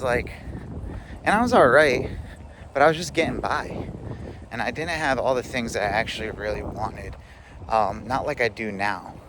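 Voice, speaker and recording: A man's voice: lowish voice, noisy background. A man in his thirties talking casually to the camera about growing up, rambling with lots of fillers. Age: 30s. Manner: rambling, filler-heavy, reflective. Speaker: vlogger. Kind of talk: casual self-help monologue.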